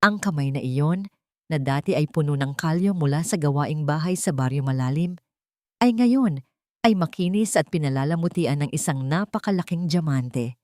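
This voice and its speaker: Female storyteller, slightly low register, dramatic scripted read, dry studio-like sound. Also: expressive, moderate pace, mellow, no echo. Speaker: story narrator.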